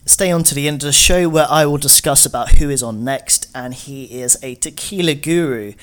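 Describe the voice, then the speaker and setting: Higher-pitched man hosting a podcast. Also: normal speed, a bit bright. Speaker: podcast host. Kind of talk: podcast introduction and interview.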